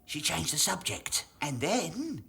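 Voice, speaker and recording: An actor playing a dim, servile jail underling in an audio drama, with a hesitant, deferential manner. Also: male voice, a little high, sing-song, slow pace, vocal fry, sharp tone, slightly rough, exaggerated voice. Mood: hesitant, puzzled.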